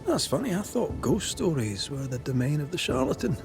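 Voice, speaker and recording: An actor playing a shrewd, persistent investigator of hauntings in a video game cutscene, probing and wry. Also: male voice, on the high side, sing-song, brisk, gravelly, music in the background.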